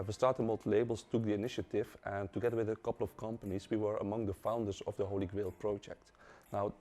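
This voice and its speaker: A man, a company's head of innovation, calmly explaining to camera with frequent fillers. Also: on the low side.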